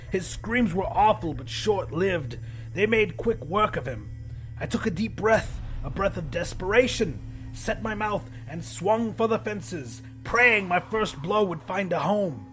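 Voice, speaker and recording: A man's voice: high-pitched, a bit bright, rough recording. A man narrating a horror audiobook in the first person, with an intense, theatrical delivery.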